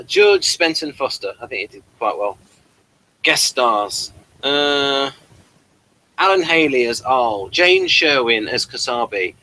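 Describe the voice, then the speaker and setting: Male review host, bright forward voice, reads guide entries aloud with chatty asides. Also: mid-range voice, low-quality recording. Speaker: host of a TV episode review show. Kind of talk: reading aloud from an episode guide with off-the-cuff asides.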